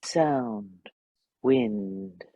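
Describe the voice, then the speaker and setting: A woman reading sound effects aloud as spoken cues, deadpan and deliberate. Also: rumbling low, dry recording, lo-fi sound.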